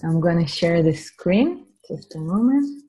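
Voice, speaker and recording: A woman with an Israeli accent speaking gently and informally as she leads a spiritual healing webinar. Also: on the low side, animated, a little slow, breathy edge, poor audio. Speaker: spiritual healer hosting a webinar. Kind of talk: guided meditation and informal webinar talk. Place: online webinar from a computer.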